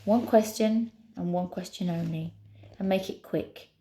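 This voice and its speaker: A woman's voice: fairly low pitch, measured pace, warm tone. A woman voicing a talking stone tower in a storybook, imperious, pompous and petulant.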